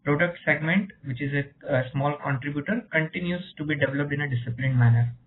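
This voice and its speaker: A man, a company executive, reads a scripted earnings presentation in a formal, measured way over a conference call line. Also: on the high side. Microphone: telephone. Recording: narrow phone line with faint noise.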